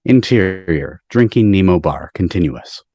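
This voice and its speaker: A man's voice: deep voice, expressive, measured pace, mellow, no echo. A man giving scripted narration of screenplay stage directions on a video call.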